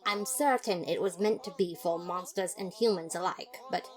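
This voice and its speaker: A woman reading scripted first-person fiction in a dramatic, expressive narrator's manner. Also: fairly low pitch, moderate pace. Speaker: podfic narrator.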